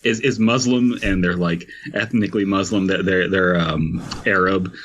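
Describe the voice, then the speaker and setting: A man's voice: low voice, fairly even intonation, moderate pace, fairly bright tone, slight background noise. A man co-hosting a podcast, reading a story aloud and breaking off into crude jokes. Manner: crude joking, laughs mid-sentence while reading aloud.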